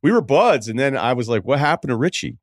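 A man's voice: medium pitch, very animated, fast talker, no room sound, studio quality. A man hosting a sports podcast, giving informal, wry, conversational commentary.

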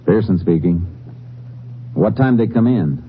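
An actor playing a calm, methodical Texas Ranger who investigates and narrates in a terse, probing manner in a 1950s radio drama. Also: male voice, lowish voice, measured pace, a little hiss or hum. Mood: businesslike. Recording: muffled old radio recording.

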